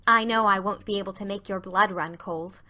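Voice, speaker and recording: A woman's voice: medium pitch, steady tone, normal speed, sharp tone, natural voice. A woman voicing an earnest, romantic, effusive schoolgirl in a dramatized audiobook, with gushing, dramatic delivery. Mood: meditative.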